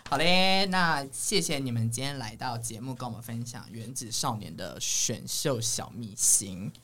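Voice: medium pitch, animated, normal speed, pushed and bright. A podcast host with a Taiwanese Mandarin accent, chatting casually and upbeat in a playful, teasing manner. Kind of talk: casual upbeat chat show hosting.

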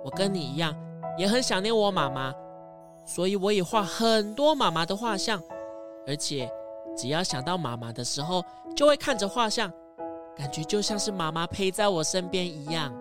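A woman voicing a kind young child who pleads gently in a children's audio drama. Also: on the low side, animated, normal speed, lightly acted. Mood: gentle pleading. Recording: music behind the voice.

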